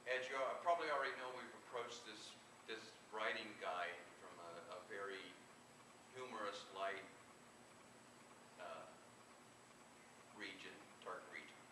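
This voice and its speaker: Halting low-voiced man in a noisy echoey room. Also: distant mic. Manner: halting, hesitant delivery. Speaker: author presenting own book. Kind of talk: informal live book presentation. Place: convention room, live event.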